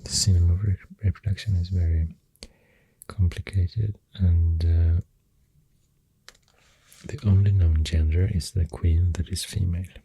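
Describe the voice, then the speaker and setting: Swedish accent, ASMR rambling, slow halting delivery, soft mellow tone, husky half-whisper, close microphone. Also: deep voice, steady tone, low-quality recording. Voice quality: breathy, husky, often slipping into whisper. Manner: slow, hesitant, pausing between phrases. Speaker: ASMR creator. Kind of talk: soft-spoken ASMR rambling while drawing.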